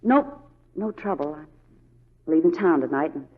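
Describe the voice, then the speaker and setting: A woman's voice: low-pitched, lively intonation, normal speed. A sultry, worldly saloon woman, speaks in a cool, teasing manner. The western radio play has a dull, vintage recorded sound. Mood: coy, inviting. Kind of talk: 1940s radio acting.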